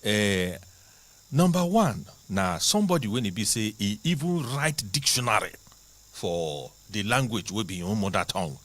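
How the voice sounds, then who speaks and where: A man with a Nigerian accent, hosting a studio talk show in a chatty, animated way. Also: fairly low pitch, normal speed.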